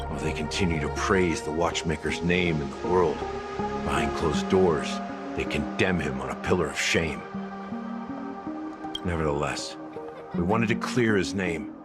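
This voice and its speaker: An actor playing a weary, regretful officer in a video game, speaking gravely and deliberately with dramatic pauses. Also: male voice, low voice. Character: weary, world-worn male officer haunted by regret. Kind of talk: English video game voice acting. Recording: dramatic music behind the voice. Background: loud background music.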